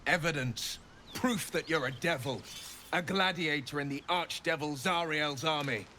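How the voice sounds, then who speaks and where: An actor playing a righteous, theatrical monster-hunting hero in a video game, formal and declamatory. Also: male voice, a little high, moderate pace, sharp tone, a little hiss or hum. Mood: accusing, dramatic. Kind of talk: video game character acting.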